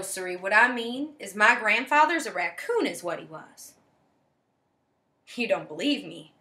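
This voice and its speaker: A woman's voice: mid-range voice, sharp tone. A woman playing an odd, earnest small-town backwoods young woman, confiding in a folksy, matter-of-fact comic stage monologue.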